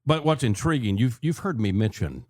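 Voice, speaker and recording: A man's voice: medium pitch, animated, normal speed, dry recording. An older man hosting a talk radio show in a chatty, folksy, conversational way from a close studio microphone.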